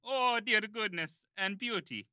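A man's voice: sits high, measured pace, pushed and bright, no echo, studio quality, voice pushed up, full character voice. A man voicing a grateful motherly female cook in an amateur audio drama.

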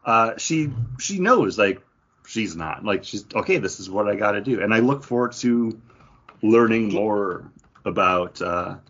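A man's voice: lowish voice, animated, unhurried, fairly bright tone, breathy edge, highs cut off. A man co-hosting a podcast, chatting casually in a comic book review. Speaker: podcast co-host.

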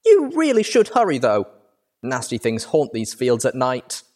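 A man voicing a bubbly, excitable young female pony tour guide in an animated storybook reading, gushing and theatrical. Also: medium pitch, measured pace, pitched above the performer's own voice. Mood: ominous, urgent. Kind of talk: animated audiobook character voice.

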